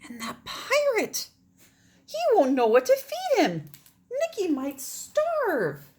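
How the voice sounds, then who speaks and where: A woman's voice: very high-pitched, wildly swooping pitch, takes it slowly, a little mellow, pitched above the performer's own voice, heavily acted. A woman voicing a spirited, earnest young girl in a storybook, speaking in exclamations. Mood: worried. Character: spirited young girl devoted to her pet cricket.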